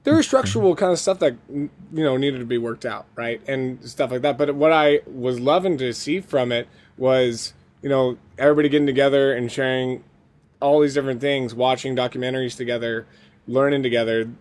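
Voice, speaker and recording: A man's voice: mid-range voice, a little fast, a little mellow. A man co-hosting a podcast in casual chat, with an enthusiastic, rambling way of talking. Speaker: podcast co-host.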